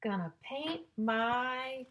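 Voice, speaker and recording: A woman reading a picture book aloud to young children in a sing-song, exaggerated way, pausing to ask and explain like a teacher. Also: high voice, animated, a bit bright. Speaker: children's story-time reader and teacher.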